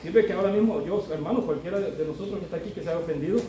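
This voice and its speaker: Preacher man, high voice, echoey hall. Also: racing. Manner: conversational, often adds tag questions; builds to loud emphatic exhortation. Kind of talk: sermon. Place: church hall. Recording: echoey hall from far off, faint ambient noise.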